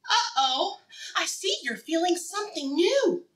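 A woman preschool teacher with a high, very expressive voice leads a lesson for young children. Her delivery is bright and encouraging, built on simple, repeated phrases and questions left open for the listener. She sounds a little distant from the microphone. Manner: animated, encouraging, asks questions and pauses for answers.

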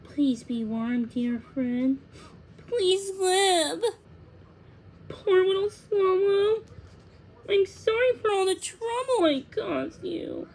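Woman as a tiny, earnest girl, high-pitched. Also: animated, slow, long pauses, a little mellow. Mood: tearful, pleading. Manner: pleading, sighing and emotional. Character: tiny tender-hearted young girl, earnest and sorrowful.

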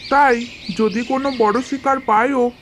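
A woman's voice: fairly high pitch, fairly even intonation, a little slow, close to an everyday voice. A woman voicing a foolish, friendly old tiger, hungry, in a children's cartoon story. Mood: weary, complaining. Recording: clearly noisy, birdsong behind. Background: birdsong and nature sounds.

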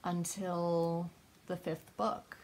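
A woman's voice: fairly low pitch, little pitch movement, very slow, darkish tone, poor audio. A young adult woman in casual conversation about books, earnestly explaining and reminiscing. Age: young adult, 20s to 30s. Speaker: host, book vlogger. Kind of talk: casual conversational book chat. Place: small book-lined room indoors.

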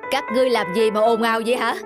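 An imperious yet fair-minded king of cartoon folktale, acted by a woman, speaks in a high register. The delivery is commanding and deliberate, with music clearly behind it. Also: fairly even intonation, natural voice. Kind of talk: animated folktale voice acting.

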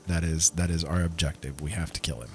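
A man's voice: low-pitched, steady tone, fast talker. A man giving casual, easygoing commentary on his own gameplay, talking to himself as he plays.

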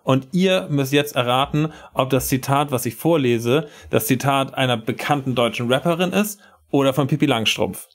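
A man's voice: medium pitch, moderate pace. A man talking casually as the quizmaster in a group podcast chat.

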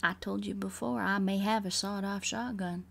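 A woman's voice: mid-range voice, fairly even intonation, normal speed, creaky, a bit bright. A woman livestreamer giving playful game commentary in mock-bossy cowboy slang with a Southern US accent. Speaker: livestreamer playing a video game.

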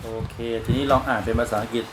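Fast-talking man coaching a child. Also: mid-range voice, level tone. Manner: prompting and correcting, fast. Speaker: parent coaching a child reader. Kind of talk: casual home video. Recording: loud background noise. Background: loud noise.

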